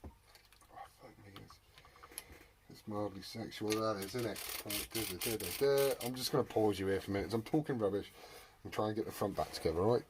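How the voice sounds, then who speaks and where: A man's voice: fairly low pitch, brisk. A British man rambling casually and jokingly to viewers while repairing something, swearing often and muttering to himself. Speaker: hobbyist repairer presenting a video. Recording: noisy home recording with clicks.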